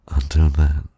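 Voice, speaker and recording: A man playing a suave, teasing supervillain in a flirtatious ASMR romance roleplay, gravelly and breathy, purring and often dropping to a whisper. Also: rumbling low, warm tone, no room sound, heavily acted. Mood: sly, teasing. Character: suave, teasing male supervillain courting his hero nemesis.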